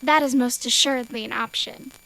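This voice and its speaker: A woman's voice: on the high side, steady tone, fairly quick, vocal fry, sharp tone, low-quality recording. A woman playing a scheming, deferential adviser to an empress in an audio drama, formal and careful. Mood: deferential, measured.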